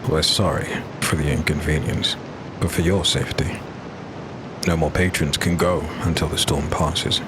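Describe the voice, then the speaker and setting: A man's voice: extremely low pitch, steady tone, moderate pace, background noise. A man voicing a polite male ski lift attendant who speaks formally and apologetically.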